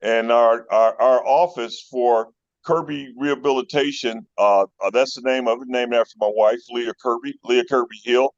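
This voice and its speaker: A middle-aged man from with a mid-pitched voice. He talks as a guest on a group call, friendly and rambling, with frequent fillers. The sound is a bit dull, like call audio. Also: fairly even intonation, moderate pace. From Chicago North Side. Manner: friendly storyteller, many fillers. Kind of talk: casual phone call chat.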